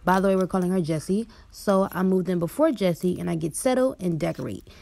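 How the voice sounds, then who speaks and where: A woman's voice: lowish voice, fairly quick, gravelly. A young American woman telling a casual first-person storytime. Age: young adult. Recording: loud background noise.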